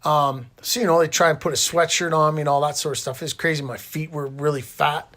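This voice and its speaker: A man's voice: on the high side, steady tone, a little fast, pushed and bright. Rambling Canadian man telling stories casually. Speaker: interviewer and host recounting own hospital stay. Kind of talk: casual conversational storytelling.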